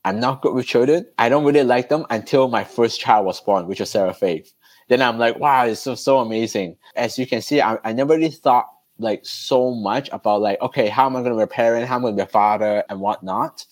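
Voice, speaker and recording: A man's voice: mid-range voice, a little fast, no echo. A man, chatting loosely as a podcast guest. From Hong Kong, raised in Canada. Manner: relaxed, loose, with fillers and restarts. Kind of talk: conversational storytelling.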